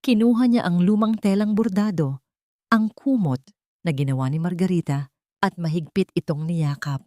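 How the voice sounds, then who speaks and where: Female audio drama narrator, lowish register, measured sentimental storytelling, dry recording. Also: dark tone, no room sound.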